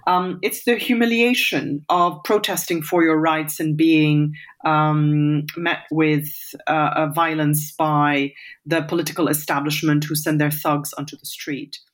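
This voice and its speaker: Here is a woman in her forties from Lebanon, answering interview questions in a thoughtful, measured way. Her voice sits a little low for a woman. She often pauses on fillers and restarts her sentences. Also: fairly even intonation. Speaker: interview guest.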